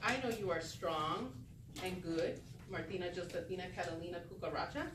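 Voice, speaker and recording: A woman plays a tiny male mouse suitor from a storybook, gentle and courtly with sweet talk. The sound is distant and echoey, with noise behind it. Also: lowish voice, normal speed, lightly acted. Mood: tender. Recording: echoey room, distant mic, noisy background.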